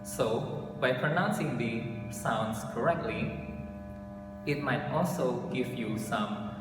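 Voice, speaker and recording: A young man with an Indonesian accent speaks in an earnest, steady way at an ordinary mid pitch. The camera mic sits far from him in an echoey classroom with long reverb, and background music plays clearly under his voice. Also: takes it slowly. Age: young adult. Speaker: English literature student. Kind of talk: class video presentation. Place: classroom, indoors. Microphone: camera mic from a few meters.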